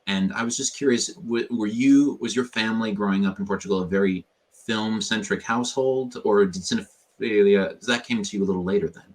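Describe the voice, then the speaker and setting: Deep-voiced man hosting a live stream. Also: brisk, rough recording. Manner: polished, effusive, formal phrasing. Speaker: host. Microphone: video call.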